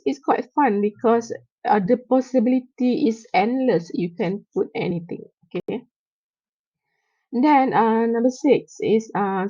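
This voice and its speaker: A woman lecturer with a nasal, stuffy voice from a cold, explaining software tools to students with frequent fillers and checks for understanding. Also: fairly high pitch, no echo, dull audio. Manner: explains slowly with many fillers and check-ins.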